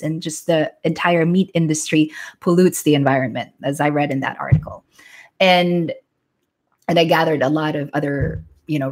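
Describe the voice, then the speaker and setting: Low-voiced woman chatting frankly. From Philippines. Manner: chatty, frank, restarts sentences, laughs lightly. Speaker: yoga teacher. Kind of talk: casual live-stream talk to viewers.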